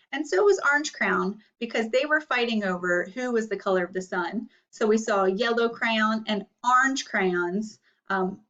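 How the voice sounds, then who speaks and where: A woman's voice: medium pitch, fairly bright tone. A woman teacher leading a read-aloud lesson for children, explaining and asking questions. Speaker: elementary school teacher. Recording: slightly muffled, distant microphone.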